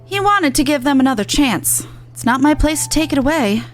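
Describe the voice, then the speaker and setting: A young catfolk resistance fighter, acted by a woman, frank and tender-hearted underneath. Her voice is high and her speech colloquial, over soft game music. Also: animated, brisk. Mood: frank, forgiving. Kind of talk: video game dialogue read aloud.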